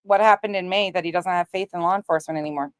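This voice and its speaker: Low-pitched woman giving opinionated commentary. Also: fairly even intonation, very fast, clean tone, no echo, clean studio sound. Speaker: commentator on an online true-crime talk show. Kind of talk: casual commentary on played clips.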